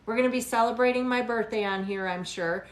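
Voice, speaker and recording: Brief: woman in her 40s, a bit high-pitched, teasing livestream tarot host, echoey room. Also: fairly quick. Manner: chatty, teasing, laughs often. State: tired. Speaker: tarot reader hosting a livestream. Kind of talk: casual livestream chat with viewers.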